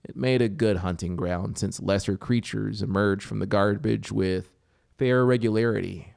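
A man in his thirties reading a story as an audiobook narrator, deliberate and theatrical, close to a studio microphone. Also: fairly low pitch, vocal fry, a little mellow, no echo. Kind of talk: dramatic scripted story narration. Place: small home music studio, indoors. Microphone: close studio microphone with headphones. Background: music soundscape.

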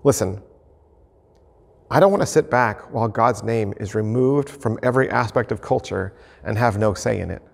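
Gravelly-voiced pastor preaching earnestly. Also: male voice, on the low side. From United States. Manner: earnest, measured preaching to camera. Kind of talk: sermon. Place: empty church sanctuary, indoors, mid-sized. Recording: reverberant church hall.

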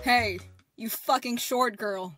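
A woman's voice: fairly high pitch, slow pace, a bit bright, soft background music. A woman voicing a boastful, haughty young devil woman in a comic dub, brash and foul-mouthed. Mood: indignant.

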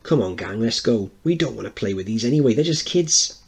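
A man's voice: medium pitch, animated, brisk, husky, voice pushed up. A man voicing a cocky, bullying boy who leads a street gang, in a dramatic reading of a play.

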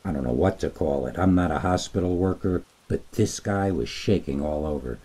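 A man reading a scripted scary story as narrator, in a dramatic, deliberate storytelling manner. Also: low-pitched, mellow, husky.

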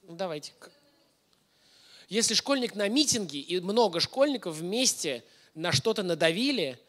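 A man's voice: high voice, animated, normal speed, fairly bright tone. A man, likely in his thirties, lecturing conversationally to an audience in an informal way, often putting questions to his listeners. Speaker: lecturer giving a masterclass. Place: indoor hall, on stage before a projection screen.

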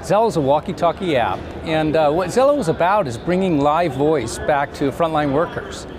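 A man in his fifties explaining things conversationally as an interview guest, in a measured, halting way with pauses. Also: a little high, expressive, a crowd in the background. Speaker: company CEO, interview guest. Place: trade show booth set, indoors. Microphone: lapel mic. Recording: clear background noise of busy trade show.

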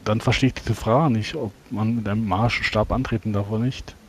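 A man with a fairly low voice takes part in a tabletop role-playing game. He speaks in character at a deliberate pace. He often trips and repeats words. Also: fairly even intonation, slight background noise. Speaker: player.

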